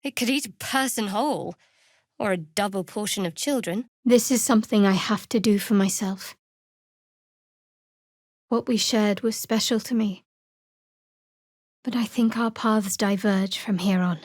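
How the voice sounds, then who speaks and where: A woman playing a guarded, sardonic young cleric of a dark goddess in a fantasy video game, with a dry, measured, wry delivery. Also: medium pitch, no room sound, lightly acted. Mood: soft, reflective. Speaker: video game companion character. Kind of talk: scripted fantasy game voice acting.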